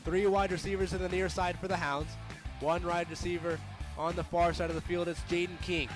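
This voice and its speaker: A young American man giving live radio sports commentary, moving between measured description and excited, shouted calls. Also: high-pitched, over music. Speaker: play-by-play sports announcer.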